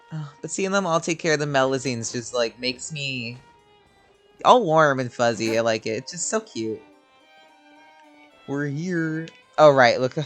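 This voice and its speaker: A mid-pitched man chats casually through a game he is playing, gushing and swearing freely. Soft music runs behind his commentary. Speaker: gaming streamer.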